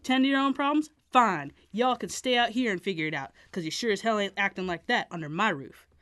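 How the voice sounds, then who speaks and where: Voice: medium pitch, a little fast, sharp tone, no room sound, lightly acted. A reader voicing an angry, hard-drinking middle-aged father, hollering and scolding in a storybook reading. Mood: furious.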